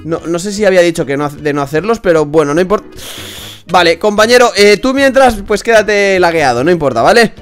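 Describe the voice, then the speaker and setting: A young man hosting a casual game playthrough, excitable and quick to shout and swear. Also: high-pitched, clear, resonant tone, soft background music. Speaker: gaming channel host. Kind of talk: casual let's play chat.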